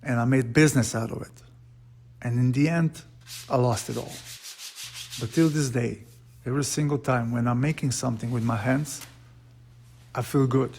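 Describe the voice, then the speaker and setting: A man's voice: mid-range voice, fairly even intonation, normal speed, creaky, long pauses, a little mellow, slight background noise. A man from Slovenia speaking English with a Slovenian accent, narrating his woodworking in an earnest, plain way with small slips. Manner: plain, earnest, slightly broken English with frequent uh fillers. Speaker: woodworker and channel host. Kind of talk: casual workshop vlog narration.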